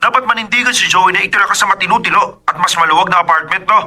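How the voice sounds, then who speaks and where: A man's voice: high voice, fairly even intonation, very fast, bright, forceful tone, faint music behind, full character voice. An actor playing a stern, nagging father who scolds his grown daughter in a radio drama. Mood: indignant scolding. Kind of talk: Tagalog radio drama acting.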